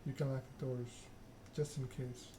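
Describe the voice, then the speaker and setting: A man's voice: mid-range voice, little pitch movement, moderate pace, warm tone, lightly acted. A man voicing a composed, courteous female vampire sire in a story game, over noisy ambience. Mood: composed, hospitable. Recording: clearly noisy background ambience.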